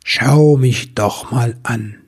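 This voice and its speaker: A man acts out a kindly old bearded gift-bringer from a storybook. He speaks slowly and warmly in a deep, gravelly, husky voice, gently teasing. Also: heavily acted. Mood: gently amused. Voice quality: deep, warm. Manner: slow, deliberate, gently teasing. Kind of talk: children's storybook character voice.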